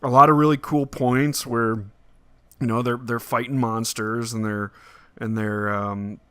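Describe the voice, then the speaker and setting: A man chatting casually as a podcast host about entertainment, talking in a halting way with repeated phrases. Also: mid-range voice, little pitch movement, a bit bright, clean tone, dry recording. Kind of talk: casual movie discussion podcast.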